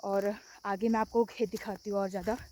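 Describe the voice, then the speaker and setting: A woman's voice: medium pitch, fairly even intonation, a little fast, a little mellow. A young woman narrating a casual village vlog, explaining things to viewers and repeating herself. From Uttarakhand, India. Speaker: village vlogger.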